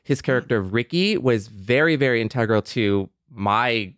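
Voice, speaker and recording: A man's voice: medium pitch, moderate pace, fairly bright tone, no echo. Middle-aged man, American accent, casual panel talk, storytelling, dry studio-tight sound. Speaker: podcast panelist and Star Trek expert. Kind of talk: casual discussion and anecdote telling.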